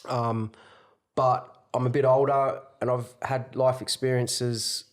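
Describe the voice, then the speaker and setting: A man's voice: medium pitch, level tone, slow. Guest, middle-aged man raised in Tasmania, open and chatty storyteller. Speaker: podcast guest. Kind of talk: casual podcast chat.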